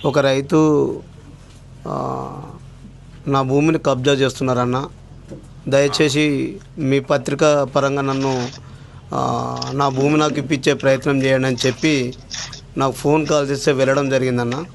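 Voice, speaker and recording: A man's voice: mid-range voice, level tone, a little slow, creaky, long pauses, slight background noise. A man with a Telangana Telugu accent giving an earnest, deferential account to a listener on the phone. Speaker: journalist recounting an assault on him.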